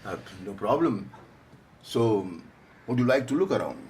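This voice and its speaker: An actor playing a slippery, jovial hospital doctor with an Indian accent and a breezy, joking manner, in a naturalistic radio drama. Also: male voice, medium pitch, big pitch swings, normal speed, a little mellow, close to an everyday voice. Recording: noisy background ambience.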